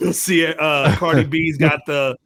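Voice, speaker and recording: A man's voice: fairly high pitch, slow pace, noisy background. A man hosting a casual hip hop panel chat, talking in a slangy, joking way. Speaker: podcast host.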